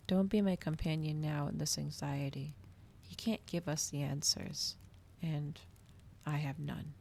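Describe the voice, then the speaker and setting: A woman voicing a brooding, protective male vampire in a storybook, pleading in a hushed, weary way. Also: deep voice, steady tone, unhurried, creaky, slight background noise. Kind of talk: ASMR storybook character reading.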